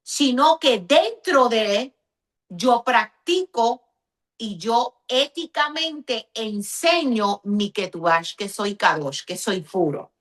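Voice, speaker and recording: Higher-pitched Puerto Rican woman, explanatory. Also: animated, slow, a bit bright. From Puerto Rico. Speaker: pastor and guest teacher. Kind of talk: conversational religious teaching in an interview.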